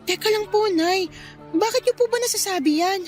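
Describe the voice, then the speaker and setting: A woman's voice: extremely high pitch, fairly quick, a little mellow, soft background music. A woman acting in a Filipino radio drama as a trusting, loyal wife in her thirties who respectfully protests to her mother. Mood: puzzled.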